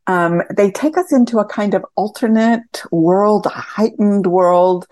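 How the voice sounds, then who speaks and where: A woman's voice: mid-range voice, measured pace, mellow, no room sound, clean studio sound. A woman theatre director answering questions reflectively as an interview guest.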